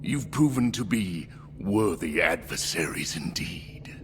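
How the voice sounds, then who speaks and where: An actor playing a menacing, zealous alien warlord in a video game, with a gravelly voice and pompous, theatrical oratory. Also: male voice, expressive, slow, full character voice. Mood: menacing, grandiose. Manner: theatrical, pompous oratory with hissed whispers and booming shouts. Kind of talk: video game villain monologue.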